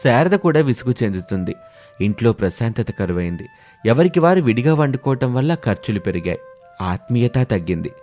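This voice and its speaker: A man's voice: medium pitch, sing-song, a little fast, a little mellow, faint music behind. A man narrating a scripted moral family story for listeners.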